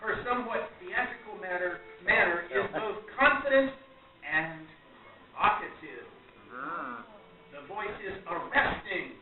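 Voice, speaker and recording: A man narrating a radio play as its moderator, reading the script in a theatrical, deliberate storytelling manner. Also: high-pitched, lively intonation, soft background music. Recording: very echoey, distant, tinny, noisy hall.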